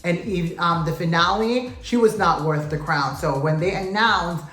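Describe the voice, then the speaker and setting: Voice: mid-range voice, moderate pace, bright, forceful tone. A pageant vlogger giving a casual recap to camera, sassy and gossipy, often calling out to viewers as "you guys" and "queens". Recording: roomy echo, faint music.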